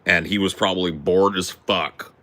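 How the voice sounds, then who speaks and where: Man, very low distinctive voice, casual rambling chat, emphatic, blunt and opinionated. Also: steady tone, normal speed, pushed and bright. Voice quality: deep voice with a distinctive sound. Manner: opinionated, emphatic, swears freely. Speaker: host.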